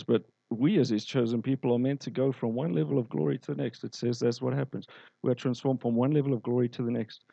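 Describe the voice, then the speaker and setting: A man's voice: mid-range voice, creaky, dark tone, no room sound, highs cut off. A man preaching a sermon, telling stories and asking the congregation questions. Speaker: pastor.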